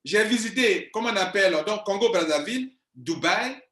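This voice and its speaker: Man, 40s, business coach; high-pitched, insistent, repeats points, roomy echo. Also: animated, normal speed, a bit bright. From Ivory Coast. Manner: insistent, emphatic, repeats himself. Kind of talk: video monologue to viewers. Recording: echoey room.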